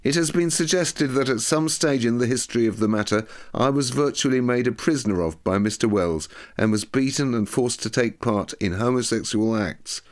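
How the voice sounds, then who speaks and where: A man's voice: mid-range voice, normal speed, few pauses, pitched above the performer's own voice, lightly acted. A man voicing a reflective, plain-spoken man giving earnest personal testimony in an audiobook. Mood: sober, candid.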